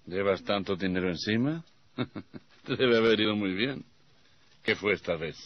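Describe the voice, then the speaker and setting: A menacing, mocking gang boss played by a male actor in a radio play. He drawls his taunts in a low voice, greedy and sly. The recording is dull and dated. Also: measured pace, a little hiss or hum, lightly acted. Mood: probing, mocking. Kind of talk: radio theatre acting.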